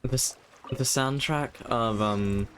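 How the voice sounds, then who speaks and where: A man's voice: medium pitch, slow, a bit bright. A man doing casual live video game commentary, thinking aloud with playful exclamations. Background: game music.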